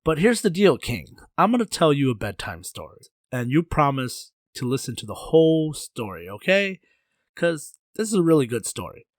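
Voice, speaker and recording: A man's voice: a little high, big pitch swings, moderate pace, no room sound, clean studio sound. A man voicing a sly, laid-back trickster in a folktale, with cool, slangy talk. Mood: sly, insistent.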